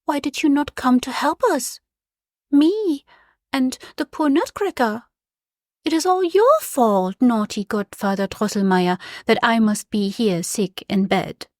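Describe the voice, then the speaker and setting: A woman's voice: a little high, measured pace, no room sound, studio quality, pitched above the performer's own voice, lightly acted. A woman reading aloud, voicing a sick young girl who scolds her godfather. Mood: reproachful.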